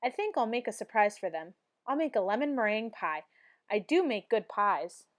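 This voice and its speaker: A woman's voice: mid-range voice, sing-song, moderate pace, lightly acted. A woman voicing a cheerful, naive, earnest housemaid in a storybook, with a folksy, exclaiming manner. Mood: musing, puzzled.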